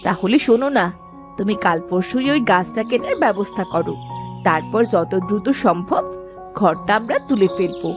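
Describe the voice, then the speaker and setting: A woman voicing an inquisitive, sharp-tongued village housewife in scripted cartoon dialogue. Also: mid-range voice, normal speed, music in the background, natural voice. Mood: eager, friendly. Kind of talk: scripted cartoon dialogue in a Bengali folk tale.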